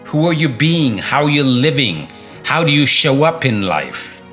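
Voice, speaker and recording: A man's voice: mid-range voice, expressive, unhurried, a bit bright, faint music behind. A middle-aged man coaching viewers on fitness and mindset in an emphatic motivational talk, repeating key phrases and asking rhetorical questions.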